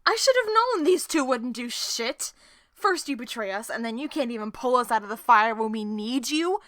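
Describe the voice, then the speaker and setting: Bright, sharp-tongued woman, acted out by a woman. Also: fairly high pitch, animated, moderate pace, dry recording, clean studio sound. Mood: furious, bitter. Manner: profane, confrontational, sarcastic. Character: sharp-tongued, distrustful woman on a criminal team. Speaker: voice actor in an audio roleplay. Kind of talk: dramatic audio drama acting.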